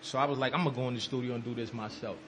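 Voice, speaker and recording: A man's voice: mid-range voice, steady tone, very fast, a bit bright, slight background noise. Laid-back man from Sierra Leone and Brooklyn. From Sierra Leone, raised in Brooklyn and New Jersey. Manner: laid-back street talk, keeps saying "you know what I mean". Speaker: musician guest. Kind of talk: casual radio interview.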